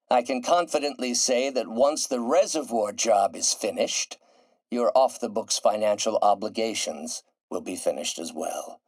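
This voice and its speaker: Grandiose, deep-voiced genius scientist villain. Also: male voice, creaky, a little mellow, no echo, heavily acted. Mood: coaxing, calculating. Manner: theatrical, erudite, precise enunciation. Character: grandiose ailing male genius scientist turning villain, middle-aged. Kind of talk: video game voice acting, dramatic monologue.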